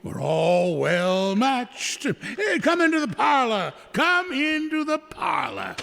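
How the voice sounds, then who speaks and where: A man's voice: very high voice, very animated, takes it slowly, pushed and bright, heavily acted. A man playing a gleeful, cackling old rascal who runs a grimy junk shop, in a radio drama. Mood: chuckling, welcoming. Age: older. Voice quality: creaky, cackling. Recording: reverberant hall sound.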